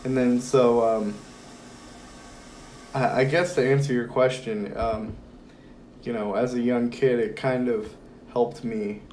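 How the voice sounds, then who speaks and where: A hoarse young man talking as a guest in a relaxed, rambling conversation with lots of fillers. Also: mid-range voice, takes it slowly, long pauses, a little hiss or hum, low-quality recording. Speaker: podcast guest. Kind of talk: casual conversation.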